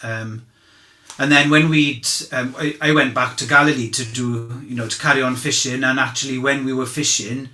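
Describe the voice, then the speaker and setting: A man playing an earnest disciple testifying as an eyewitness at a dramatized inquest, speaking conversationally and haltingly as he searches for words. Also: medium pitch, steady tone, sharp tone, close to an everyday voice. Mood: earnest, sincere. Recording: echoey room.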